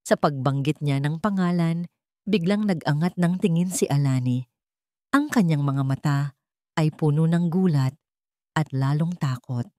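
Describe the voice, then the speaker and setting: A woman narrating a dramatic scripted story in slow, deliberate phrases with pauses. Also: sits low, a little mellow, dry recording, clean studio sound.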